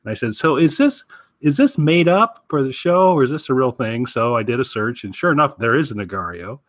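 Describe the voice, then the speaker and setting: A man's voice: medium pitch, animated, brisk, dry recording, dull audio. A man hosting a podcast, chatting casually about his recommendations in an enthusiastic, rambling way. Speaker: podcast host.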